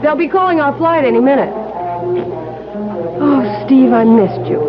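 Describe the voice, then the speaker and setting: A woman's voice: high voice, lively intonation, unhurried, over music. A woman playing an affectionate, candid young woman in love in a 1970s radio drama. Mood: teasing, affectionate. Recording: muffled, noisy old radio recording.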